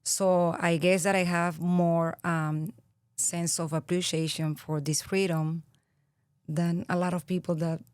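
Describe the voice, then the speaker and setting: A low-pitched woman with a Venezuelan Spanish accent talks slowly and earnestly, pausing often to think. Her voice sits dry and close to the mic. She sounds like a podcast guest reflecting in her thirties. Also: level tone, darkish tone, smooth, dry recording. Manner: reflective, earnest, with frequent pauses and fillers. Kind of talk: interview conversation.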